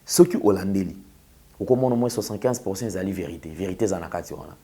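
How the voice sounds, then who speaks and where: A man's voice: low-pitched, very fast, mellow, breathy edge. A man with a Congolese accent hosting a TV talk show, speaking in an opinionated monologue with rhetorical questions and emphatic repetition. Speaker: TV host.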